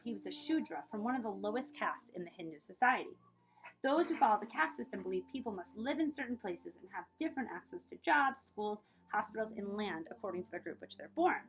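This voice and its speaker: A woman librarian reading aloud for children in an expressive storytelling way, speaking directly to her young listeners. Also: mid-range voice, husky, low-quality recording.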